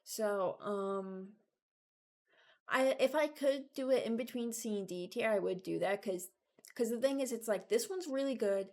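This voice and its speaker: A woman's voice: a little high, a little slow, darkish tone. A young adult woman giving informal, candid commentary as she ranks things, rambling as she thinks aloud. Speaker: video creator. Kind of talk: casual ranking commentary.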